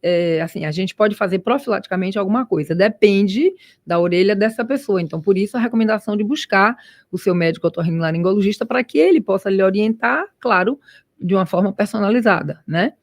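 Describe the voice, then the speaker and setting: A woman's voice: mid-range voice, quick pace, dry recording, clean studio sound. A woman, an ear doctor, explaining patiently in a radio interview with frequent restarts. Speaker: ear, nose and throat doctor. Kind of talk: expert interview guest.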